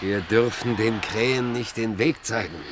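An actor in a German radio play, playing a proud, weary older Native chief and father with a solemn, measured, declamatory delivery. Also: on the low side, natural voice. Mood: grim, insistent. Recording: clearly noisy old radio recording.

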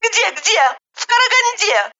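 Woman acting a frantic, high-pitched, sharp-tongued woman. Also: very animated, a little slow, lo-fi sound, full character voice. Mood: snappy. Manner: shrieking, exclamatory, indignant.

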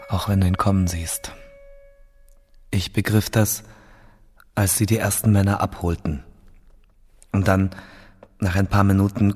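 An actor playing a grave, parched captive awaiting execution in a radio drama monologue, slow and subdued, sometimes near a whisper. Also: male voice, sits low, a little mellow. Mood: bleak, haunted.

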